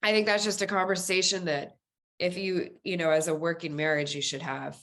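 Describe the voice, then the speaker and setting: Low-voiced woman, casual podcast guest. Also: level tone, brisk, fairly bright tone. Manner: candid, informal, explanatory. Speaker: podcast guest, police dispatcher. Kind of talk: casual conversational interview.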